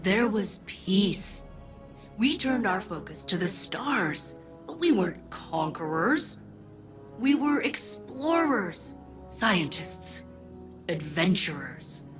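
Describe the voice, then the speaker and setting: An actress playing a wistful veteran explorer from a lost age in a video game, with an earnest, unhurried delivery. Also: female voice, medium pitch, very animated, over music. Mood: wistful, hopeful. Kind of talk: scripted video game acting.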